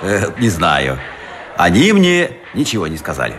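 An actor playing an offhand, colloquial railway carriage attendant in a Russian radio drama. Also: male voice, deep voice, huge pitch swings, normal speed, exaggerated voice. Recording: noisy ambience behind the voice.

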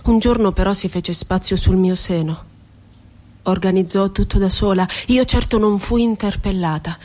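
An actress performs a woman poet, wounded and ironic, looking back on confinement. She speaks in a theatrical radio-play monologue at a middle pitch. Her delivery is deliberate and poetic, lingering on words and posing rhetorical questions. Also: darkish tone, natural voice. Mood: wistful, solemn.

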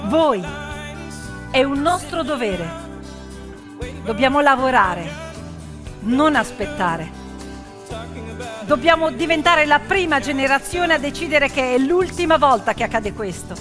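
A woman performing a theatrical monologue as a brave, impassioned teenage activist girl, speaking in deliberate, emphatic phrases. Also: high-pitched, animated, pitched above the performer's own voice. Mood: impassioned rallying. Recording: long hall reverb, piano music behind.

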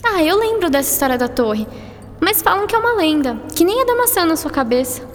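A woman's voice: very high voice, big pitch swings, brisk. A woman playing a curious, cheeky, chatty schoolgirl in a radio drama, speaking in an informal, teasing way. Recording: long reverb, music behind.

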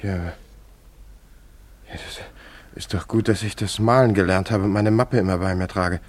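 Young wandering painter, lowish voice, earnest, polite, bewildered, faintly rough tone, radio play. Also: male voice, fairly even intonation, moderate pace. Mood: shaken. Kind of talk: German radio play acting.

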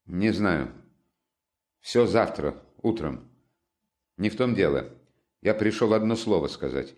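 A man's voice: low voice, animated, slow, darkish tone. Raskolnikov: a man voicing a brooding young student. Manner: sullen, curt questioning. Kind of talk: audiobook character reading.

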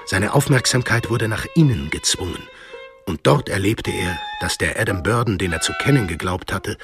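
A man's voice: fairly low pitch, huge pitch swings, moderate pace. A man narrating a radio drama in a scripted, literary storytelling style. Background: music.

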